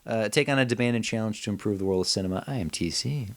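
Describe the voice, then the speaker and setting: A man's voice: on the low side, fast. A man hosting a casual comedy podcast, joking and exclaiming as he chats. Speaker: podcast host.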